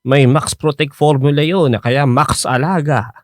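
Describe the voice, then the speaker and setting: A man's voice: a little high, a little slow, no echo, studio quality, close to an everyday voice. Actor as an older Filipino womanizer in love. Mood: playful, coaxing. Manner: hesitant, coaxing, peppered with interjections. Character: older playful womanizing man, earnest suitor in love. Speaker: voice actor in a radio drama. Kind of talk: Filipino radio drama acting.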